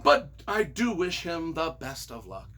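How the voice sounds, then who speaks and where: A man's voice: fairly high pitch, wildly swooping pitch, unhurried, fairly bright tone. A man reading reviews aloud and reacting off the cuff as their author, with a theatrical, emphatic, mock-exasperated delivery.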